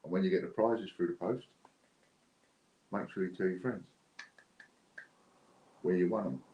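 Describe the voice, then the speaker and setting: A man's voice: deep voice, steady tone, a little slow. A British man hosting a giveaway livestream in casual, unscripted, chatty and rambling talk. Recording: dull lo-fi, roomy echo, clear background noise.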